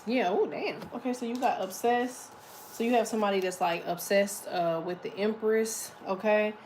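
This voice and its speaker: A woman with a deep voice giving a casual, chatty tarot reading, swearing freely as she talks. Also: steady tone, moderate pace, noisy background. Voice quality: deep, slightly muffled voice. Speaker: tarot reader.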